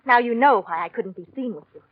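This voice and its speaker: A woman's voice: fairly high pitch, fast, no room sound, natural voice. An actress playing a scheming, possessive mistress in a 1940s radio drama, coaxing and silkily controlled as she presses her will. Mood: cool menace. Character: scheming, possessive mistress, coolly cruel wife. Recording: dull vintage 1940s radio recording.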